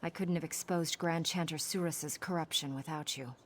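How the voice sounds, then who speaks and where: A woman playing a protective, composed older sister with an earnest, measured manner in game dialogue. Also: low-pitched, steady tone, a little hiss or hum, lightly acted. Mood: relieved, grateful.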